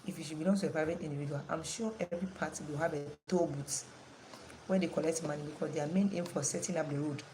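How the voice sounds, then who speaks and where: A woman's voice: low-pitched, steady tone, fast talker, dark tone, slight background noise, low-quality recording. A woman teaching an online class with a strained voice, asking her students questions and repeating the key terms.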